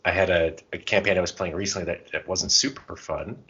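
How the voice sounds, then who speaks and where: Very deep-voiced man, casual podcast co-host. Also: fairly even intonation, fairly quick, sharp tone, breathy edge. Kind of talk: casual conversational advice and anecdotes. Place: podcast recording. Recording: dull, muffled lo-fi sound.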